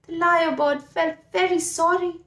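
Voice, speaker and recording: A woman telling a story to young children, expressive and speaking directly to her listeners. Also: very high voice, normal speed. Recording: roomy echo.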